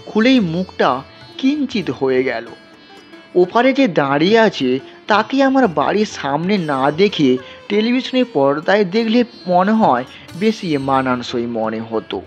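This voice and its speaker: A man narrating a scripted story in an expressive, unhurried storytelling style. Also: a little high. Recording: dull, lo-fi sound. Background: music.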